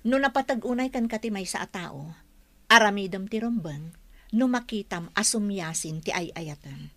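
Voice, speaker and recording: An actress with a Filipino accent playing a doubting, knowing young woman, measured and insinuating, in a radio drama. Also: mid-range voice, expressive, fairly bright tone, no echo, lightly acted. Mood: doubtful. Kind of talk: Ilocano radio drama acting.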